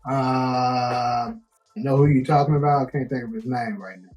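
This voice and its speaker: A man co-hosting a casual TV show discussion, relaxed and quick to laugh, reading out viewer comments. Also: mid-range voice. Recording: faint music behind.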